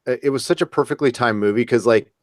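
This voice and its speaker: A man's voice: medium pitch, fast talker, dry recording. Man with a dry, close podcast sound. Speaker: podcast host. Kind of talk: casual fan podcast discussion.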